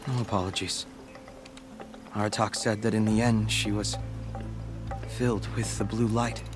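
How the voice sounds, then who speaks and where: A man's voice: on the low side, little pitch movement, a little slow, music in the background, natural voice. A man playing a sombre, mourning tribesman in a video game, speaking in a subdued, reflective way. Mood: mourning, resigned. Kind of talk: scripted video game cutscene acting.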